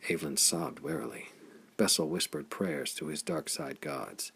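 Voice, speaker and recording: Gravelly deep-voiced male audiobook narrator. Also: big pitch swings, normal speed, a bit bright. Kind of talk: audiobook reading of a pulp science-fiction story.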